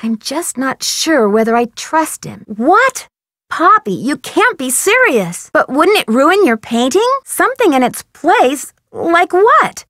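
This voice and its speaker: A woman's voice: sits high, sing-song, unhurried, hardly any pauses, fairly bright tone, no echo, clean studio sound, heavily acted. A woman playing a polite, inquisitive, earnest young female amateur detective in scripted adventure game dialogue, speaking articulately. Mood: surprised, hesitant. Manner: polite, articulate, eager questioning.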